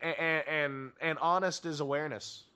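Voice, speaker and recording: A man from Tennessee with a faint trace of its accent and a rough smoker's voice, a podcast guest talking bluntly in casual chat with lots of stammered restarts. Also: fairly high pitch, steady tone, normal speed, pushed and bright. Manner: blunt, rambling, many restarts. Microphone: podcast microphone.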